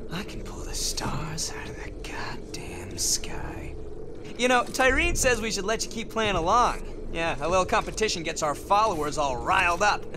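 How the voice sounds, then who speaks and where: A high-voiced, swaggering young male game villain. He is boastful and volatile, with lively swings in pitch, over clear background noise. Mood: bitter, menacing. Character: cocky, unhinged young male cult leader villain drunk on new power. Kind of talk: video game voice acting.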